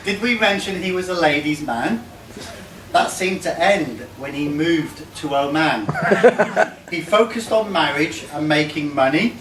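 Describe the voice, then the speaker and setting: Slow, higher-pitched man reading from afar. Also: lively intonation. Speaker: reader of a birthday tribute poem. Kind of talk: reading a comic rhyming poem aloud to a live gathering. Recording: roomy, noisy live recording from far off.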